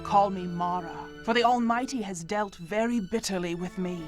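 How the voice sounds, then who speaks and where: A woman's voice: medium pitch, moderate pace, natural voice. An actress playing a grieving older widow in a radio drama, pleading and lamenting, with music playing behind her. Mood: bitter.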